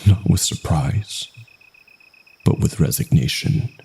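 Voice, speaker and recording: Very deep, gravelly man narrating horror. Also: slow, dark tone. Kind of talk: scripted first-person horror narration.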